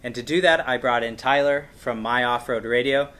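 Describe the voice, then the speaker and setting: Man, American accent, thirties, medium pitch, casual host chat. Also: normal speed, a bit bright. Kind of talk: casual chat introducing a guest. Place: indoors on a couch in a workshop room.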